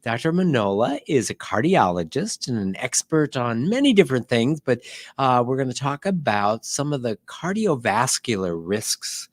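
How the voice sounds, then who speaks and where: A man's voice: medium pitch, big pitch swings, moderate pace, no echo. A man hosting an interview, asking friendly, curious questions with some fillers. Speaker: host, medical director.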